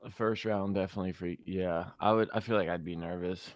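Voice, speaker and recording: A man's voice: deep voice, fairly quick, no room sound, studio quality. A man co-hosting a music review stream, chatting casually with lots of fillers. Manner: chatty, many fillers, excitable.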